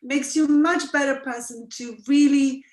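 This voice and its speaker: Excitable woman from Serbia, echoey room. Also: high voice, slight background noise. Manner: excitable, quick to jump in. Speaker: artist. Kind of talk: casual conversation. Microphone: video call.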